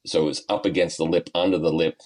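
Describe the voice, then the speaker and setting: Guest spot: very low-voiced Australian man, middle-aged, laid-back and chatty, heavy on fillers. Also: steady tone, quick pace, darkish tone. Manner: easygoing, lots of ums and uhs, chuckles often. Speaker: golf coach and guest. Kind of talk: podcast interview, relaxed storytelling.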